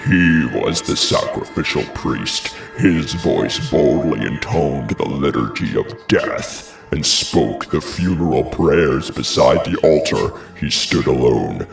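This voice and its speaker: An actor playing a grave, horrified messenger in a classical tragedy, declaiming a dramatic account in a gravelly voice. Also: male voice, extremely low pitch, very animated, takes it slowly, hardly any pauses, echoey room, recorded from a distance, heavily acted. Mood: horrified, solemn. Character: horrified royal messenger recounting a ghastly sacrificial murder. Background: music.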